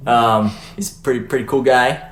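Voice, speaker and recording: A man's voice: mid-range voice, steady tone, moderate pace. A young man hosting a casual podcast, chatting loosely with fillers and restarts. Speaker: podcast host. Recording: echoey room, faint noise.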